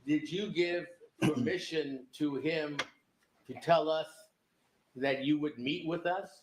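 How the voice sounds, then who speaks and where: A man's voice, a little higher than usual, questions a witness in court. He presses with pointed, leading questions. The sound rings through an echoey courtroom feed. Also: slow pace, slight background noise. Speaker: defense attorney. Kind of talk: courtroom cross-examination.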